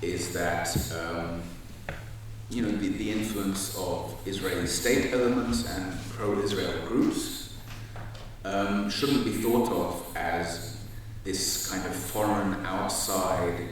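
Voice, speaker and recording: Panelist, deep and deliberate, heard from afar in a reverberant auditorium. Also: male voice, steady tone. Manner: measured, hesitant with fillers. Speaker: panel speaker. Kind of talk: debate panel answer. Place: large university auditorium. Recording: echoey hall, far from the mic, faint hiss.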